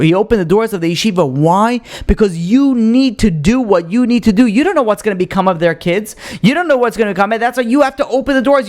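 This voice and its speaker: A man, a rabbi, giving a casual Torah lesson, explaining with rhetorical questions and frequent restarts. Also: high-pitched. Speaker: rabbi teaching a Torah class.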